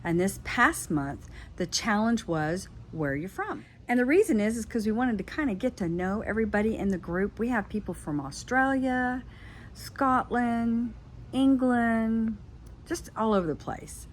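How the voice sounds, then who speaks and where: A woman's voice: fairly high pitch, expressive, unhurried, a little hiss or hum. An older woman from Florida, a doll craft vlogger, telling her life story in a chatty, friendly way. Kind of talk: casual personal storytelling.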